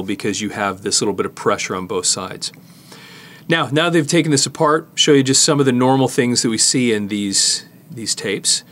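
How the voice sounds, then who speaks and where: A middle-aged man giving a casual hands-on tutorial, explaining in a halting way as he works with his hands. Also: medium pitch, fairly bright tone, slight background noise. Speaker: presenter demonstrating cassette disassembly.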